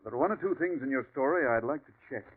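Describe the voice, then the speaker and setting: An actor playing a gentle, soft-spoken doctor who reassures a patient in a 1940s radio drama. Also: male voice, mid-range voice, lively intonation, fast, husky. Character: quiet male doctor, reassuring. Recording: tinny, distant old radio broadcast.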